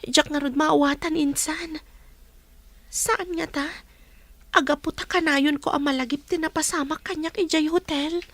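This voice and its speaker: A woman's voice: high-pitched, steady tone, normal speed, fairly bright tone, dry recording. An actress playing a troubled, listless young woman in a radio drama. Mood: weary.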